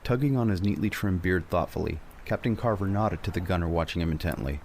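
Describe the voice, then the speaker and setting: A man's voice: sits low, fast talker, a little mellow, slight background noise. A man narrating a dramatic scripted adventure story as a storyteller.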